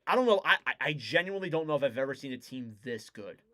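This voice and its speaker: Young man, hockey play-by-play, bright crisp voice, going a little hoarse, excitable. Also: mid-range voice, fast. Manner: excitable, rising to shouts on goals. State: losing his voice. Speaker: live-stream hockey commentator. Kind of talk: play-by-play commentary.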